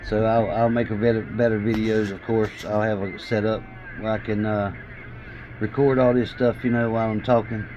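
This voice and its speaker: A fairly deep-voiced man rambles plainly through a hobby equipment tour, pausing often as he goes. He is recorded in a room at home, with a muffled sound and noticeable noise behind him. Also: little pitch movement. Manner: halting, rambling, plain-spoken. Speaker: hobbyist vlogger showing radio gear. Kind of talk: casual unscripted equipment walkthrough. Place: small home radio room, indoors. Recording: muffled lo-fi audio with background noise.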